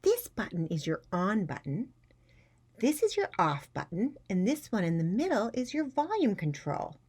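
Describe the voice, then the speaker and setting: Woman reading as a gentle, patient schoolteacher. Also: fairly low pitch, moderate pace, close to an everyday voice. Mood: gentle, coaxing. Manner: quiet, gentle, coaxing. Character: gentle, patient female schoolteacher Missus Nightingale. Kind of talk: read-aloud children's storybook character.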